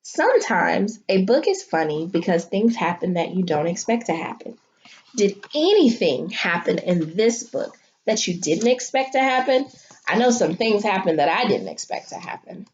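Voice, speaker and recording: A woman teacher reading a picture book aloud to children and talking them through it, with expressive, dramatic delivery and drawn-out emphasis. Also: medium pitch, muffled recording, slight background noise. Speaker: elementary school teacher.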